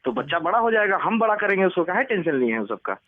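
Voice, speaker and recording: An eager forty-year-old Bihari suitor played by a man pleads his case in a fairly high male voice. He rambles on pushily and talks over the listener, as comic prank calls go, over a narrow phone line. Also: very animated, fast talker. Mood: eager, pushy. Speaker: radio prank caller.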